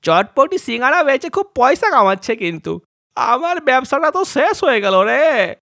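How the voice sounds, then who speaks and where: A man playing exaggerated, animated townsmen in a children's cartoon story. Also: very high-pitched, normal speed, creaky, dry recording, studio quality, heavily acted. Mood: scheming. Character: cartoon townsmen: customer, scheming rival vendor, bumbling crooks.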